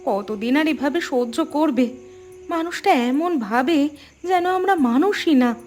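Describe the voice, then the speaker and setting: A woman's voice: high voice, animated, slow, a little mellow, slight background noise. A woman voicing the worried, hurt wife of a poor fisherman in a cartoon, plaintive. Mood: aggrieved. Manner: aggrieved, anxious, plaintive. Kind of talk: scripted cartoon dialogue.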